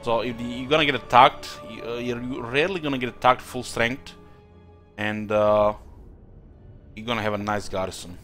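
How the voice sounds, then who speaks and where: A man's voice: medium pitch, steady tone, normal speed, fairly bright tone, soft background music. A man from Croatia with a Croatian-influenced accent, commenting live on a strategy game he is playing and thinking aloud with many fillers. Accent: Croatian-influenced English. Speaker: streamer.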